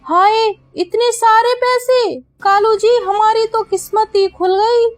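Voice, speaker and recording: A greedy crow wife from a kids' cartoon, put on by a woman narrator. She is pushy and exclamatory, pitched far up, with faint music behind. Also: steady tone, slow, no echo. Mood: greedy delight. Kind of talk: Hindi children's cartoon dialogue.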